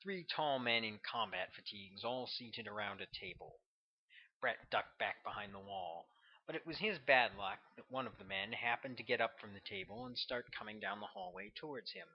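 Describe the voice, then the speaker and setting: A man's voice: lowish voice, sing-song, moderate pace, creaky, husky. A man narrating his own novel as a scripted audiobook. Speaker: author reading own audiobook. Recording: dull, lo-fi recording.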